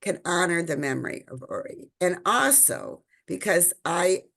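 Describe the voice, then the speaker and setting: A woman's voice: fairly low pitch, lively intonation, a little slow, gravelly, a bit bright. A woman from Illinois, hosting an interview and asking probing conversational questions. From Illinois, United States. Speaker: podcast host.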